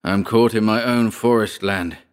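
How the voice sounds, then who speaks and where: A man's voice: on the low side, unhurried, smooth, natural voice. A man voicing a proud aristocratic landowner with a fierce, haughty manner in a storybook tale. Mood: indignant. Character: proud, fierce aristocratic landowner, indignant at his trapped enemy.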